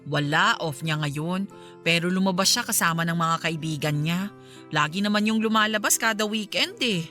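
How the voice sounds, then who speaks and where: A woman's voice: fairly low pitch, normal speed, sharp tone, soft background music. A woman playing a suspicious middle-aged mother chatting conversationally in a radio drama, with a Filipino accent. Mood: casual.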